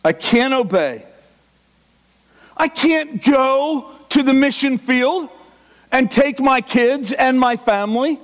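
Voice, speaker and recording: High-voiced man preaching a sermon. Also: expressive, slow. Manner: impassioned, acts out the inner voice of a struggling believer. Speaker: pastor.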